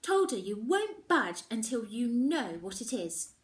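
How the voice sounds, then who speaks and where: A woman's voice: fairly high pitch, very animated, a little slow, recorded from a distance, pitched above the performer's own voice. A woman storyteller voicing a troubled young girl heroine, defensive and hesitant, in a storybook reading.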